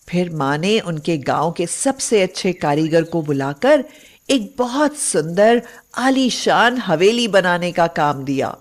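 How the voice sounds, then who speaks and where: A woman tells a scripted moral tale as its narrator. Her pitch is mid-range and her pace is markedly slow, with deliberate, expressive phrasing that suits an animated story.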